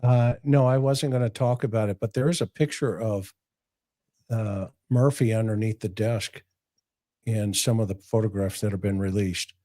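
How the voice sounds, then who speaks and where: Mellow-voiced man hosting, distracted. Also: on the low side, steady tone, normal speed. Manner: distracted, apologetic. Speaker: host. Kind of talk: live show, fixing technical problems. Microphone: headset.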